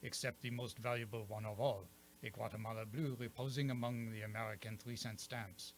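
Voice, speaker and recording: A man's voice: mid-range voice, steady tone, a little fast, slight background noise, lightly acted. A man playing a courtly, self-assured detective in a radio mystery play, with a French accent and a precise, theatrical manner. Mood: genial, explaining. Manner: precise, theatrical, savoring his explanations.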